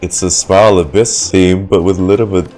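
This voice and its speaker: Very deep-voiced man reacting to a game. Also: steady tone, fairly quick. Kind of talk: casual reaction commentary. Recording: loud game music behind.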